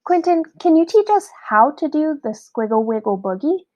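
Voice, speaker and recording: Storybook animal schoolmistress, read by a woman: kindly but brisk, raised pitch, instructing and encouraging. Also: very animated, a little mellow, highs cut off. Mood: eager. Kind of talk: children's storybook read-aloud.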